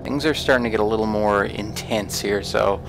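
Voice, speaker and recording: Guy doing a casual lets play, low gravelly voice, kinda hesitant, music behind him. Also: normal speed, low-quality recording. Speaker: let's play host. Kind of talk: casual game commentary. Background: horror game audio.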